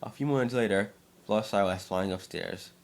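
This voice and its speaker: A man's voice: fairly low pitch, fairly quick, gravelly. A man reading aloud as an amateur narrator, now and then stumbling over his words. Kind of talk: dramatic story reading by an amateur.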